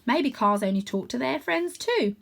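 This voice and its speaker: A naive young tomcat hero from a children's storybook, acted out by a woman. The voice is high and very lively, earnest and questioning, with a kind heart. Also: moderate pace, creaky. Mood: indignant.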